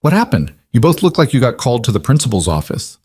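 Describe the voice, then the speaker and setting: A man's voice: mid-range voice, big pitch swings, fast, a little mellow, slightly rough, pitched above the performer's own voice. A man voicing a candid, careful woman friend. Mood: curious, careful. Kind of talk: storybook dialogue within narration.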